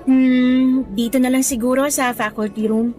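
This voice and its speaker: A woman playing an upbeat young new high school teacher in a radio drama, friendly and expressive. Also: moderate pace, soft background music, natural voice. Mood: grateful, shy. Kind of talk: Tagalog radio drama acting.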